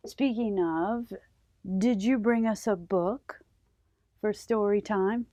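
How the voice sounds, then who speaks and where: A woman hosting a children's story time, reading a storybook aloud with gentle, deliberate phrasing. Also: mid-range voice, no room sound, clean studio sound. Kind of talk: read-aloud storybook narration.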